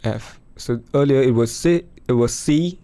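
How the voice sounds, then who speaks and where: A man losing his voice, chatting casually through a music software demo over a screen recording. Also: mid-range voice, unhurried. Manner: chatty, thinks aloud with fillers. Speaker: music production youtuber.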